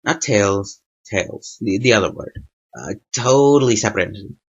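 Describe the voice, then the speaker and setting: A man's voice: on the low side, expressive, moderate pace, breathy edge. Hesitant man, dull lo-fi recording. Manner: hesitant, rambling, thinking aloud. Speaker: host of a fanfiction reading series. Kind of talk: informal spoken review.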